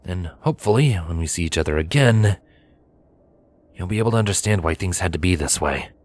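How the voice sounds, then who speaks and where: A man playing a gentle but firm pony husband in a story reading, earnest and given to sighs. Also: low voice, lively intonation, brisk. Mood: earnest resolve. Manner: soft-spoken, sighing, earnest.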